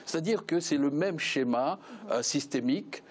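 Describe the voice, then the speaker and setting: A man's voice: a little high, animated, normal speed. A man giving political analysis as a panel guest, often repeating words as he builds his argument. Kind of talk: political analysis in spoken debate.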